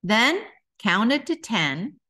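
Expressive woman reading a children's story slowly. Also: a little high. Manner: expressive, deliberate delivery for young children. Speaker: children's author reading aloud. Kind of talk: children's storytime read-aloud.

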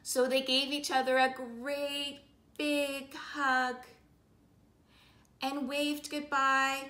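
A woman's voice: high voice, flat delivery, bright, forceful tone. A woman in her thirties telling a story to young children in a sing-song, rhythmic way, drawing out her words. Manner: slow, sing-song, repetitive rhythmic phrasing with drawn-out words. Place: living room, indoors. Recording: roomy indoor echo.

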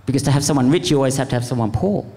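A man speaking informally as a panelist, earnest and impassioned, in a halting, rambling way. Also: mid-range voice, dark tone. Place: large event venue, live panel. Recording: echoey hall with long reverb.

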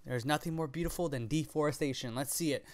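A man's voice: on the high side, quick pace. A man in his twenties giving casual, off-the-cuff reaction commentary into a close microphone, slangy and sweary with playful sarcasm. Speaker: reaction video creator. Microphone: close studio microphone on arm, with headset.

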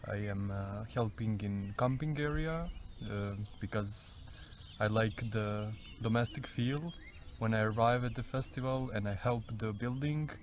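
A man from Serbia speaks outdoors in halting, simple phrases. His voice sits fairly low. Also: slight background noise. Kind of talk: festival interview.

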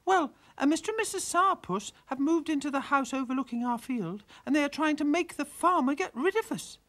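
A man putting on a wailing high pig mother. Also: expressive, fairly quick, fairly bright tone. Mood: distressed. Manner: wailing, pleading. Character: frightened weepy female farm pig.

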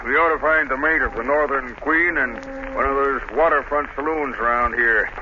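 An actor playing a brisk, anxious, authoritative shipping magnate in a 1930s radio drama. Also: male voice, medium pitch, lightly acted. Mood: brisk, troubled. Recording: noisy, dull vintage 1930s radio recording.

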